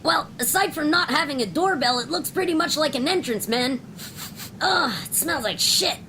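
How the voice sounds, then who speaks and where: A woman's voice: lively intonation, moderate pace, low-quality recording. A woman playing Buster, a crude, wisecracking space crew member who complains in casual slang, in an amateur radio play. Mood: sarcastic. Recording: loud rumbling vehicle noise behind voice.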